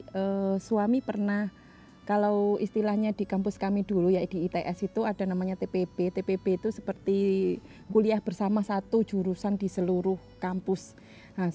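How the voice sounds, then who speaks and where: A young woman tells her personal story casually. Her voice is very dark and soft-edged, at a middling pitch, over faint background music. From Jombang, East Java, Indonesia. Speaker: doctoral student telling her story.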